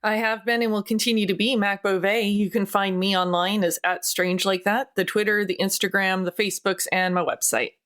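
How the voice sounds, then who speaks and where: A woman's voice: mid-range voice, steady tone, fairly quick, few pauses, fairly bright tone. A woman speaking casually as a player in a tabletop role-playing game. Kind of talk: casual chat.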